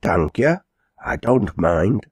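A man voicing a timid, meek old pedlar in a storybook, hushed and whispery. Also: lowish voice, big pitch swings, slow, husky, no echo, studio quality, pitched above the performer's own voice, heavily acted. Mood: grateful. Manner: timid, agreeing meekly.

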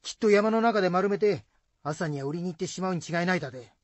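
A woman playing an excitable, easily spooked rustic husband in a folk-tale radio drama, blunt and husky, with Shizuoka dialect. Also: fairly low pitch, fast, no room sound. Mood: indignant, determined. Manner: blunt, rough rustic speech.

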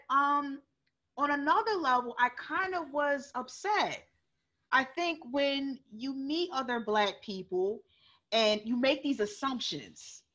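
A young woman tells a story on a panel, her voice fairly high and ringing bright. She talks in an animated way, restarting phrases as she goes. The sound is an earphone mic on a video call from her home. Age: young adult. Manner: animated, gesturing, many restarts. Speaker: panelist author. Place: living room at home.

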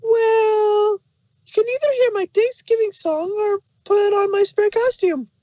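A hyperactive young cartoon pony with an extremely high, squeaky-pitched voice. She is relentlessly cheerful and pushy, exclaiming her way through a fan audio drama, recorded close and dry. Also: measured pace, warm tone, no echo, dull audio. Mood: chirpy, pushy.

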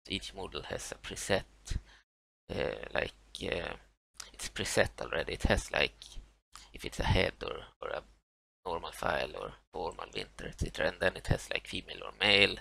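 Swedish man, non-native English, low gravelly voice, halting tutorial narration with lots of fillers, close and dry. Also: expressive, pushed and bright, no room sound, poor audio. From Sweden. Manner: halting, many fillers and restarts. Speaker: tutorial maker. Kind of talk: screen-recorded software walkthrough.

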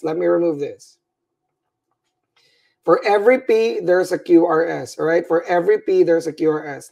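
Tired Filipino man with gravelly voice. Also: sits high, warm tone. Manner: repetitive, checks in with "all right?" and "right?". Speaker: nursing instructor. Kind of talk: livestreamed EKG lecture.

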